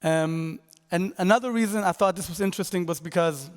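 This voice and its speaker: A young man from Denmark telling a conference audience about his podcast in a loose, rambling way. His voice is smooth and a bit higher than most men's, with a long reverb carrying through the hall. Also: fairly quick. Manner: casual and rambling, full of fillers and restarts. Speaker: podcast creator presenting his work. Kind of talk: conference talk.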